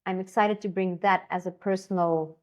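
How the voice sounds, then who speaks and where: A woman's voice: on the low side, fairly even intonation, moderate pace. A woman from Africa, an Afrikaans speaker, talking casually and enthusiastically, rambling with many fillers and restarts. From South Africa. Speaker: co-founder and education designer. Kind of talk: casual conversation.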